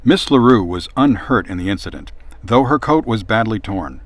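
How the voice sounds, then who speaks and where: A man reads a storybook aloud in a fairly low voice. The recording is dry and close, with the narration set out like a read-aloud for children. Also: animated, moderate pace, no echo. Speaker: storybook reader.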